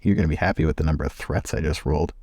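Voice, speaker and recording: A man's voice: a bass voice, rapid-fire, dark tone, dry recording, clean studio sound. A man playing a tabletop roleplaying game, casually describing what his character does. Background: faint music.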